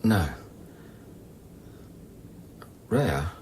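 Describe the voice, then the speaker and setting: A man's voice: low-pitched, sing-song, takes it slowly, a little mellow, a little hiss or hum, full character voice. An actor in a radio drama playing an uneasy, guarded man who humours his uncanny lover with terse, placating replies. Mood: wary, dazed. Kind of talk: intimate radio drama acting.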